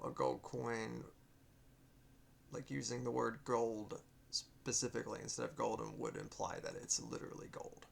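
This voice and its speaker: Guy reading his story out loud, super gravelly fry, stumbles and mutters asides, bit of noise behind. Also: mid-range voice. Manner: halting, self-correcting, muttering asides. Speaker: author reading own story. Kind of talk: read-aloud narration with off-script proofreading asides.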